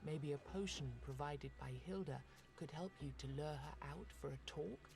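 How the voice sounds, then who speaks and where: A storyteller narrates a dark fantasy game. The voice is slightly high for a man and clearly creaky, with music playing underneath. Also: moderate pace. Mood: measured, storytelling.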